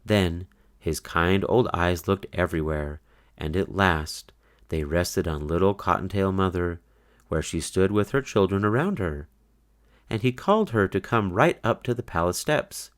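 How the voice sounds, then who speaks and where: A man narrating a children's storybook aloud, with expressive, deliberate, scripted storytelling. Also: deep voice.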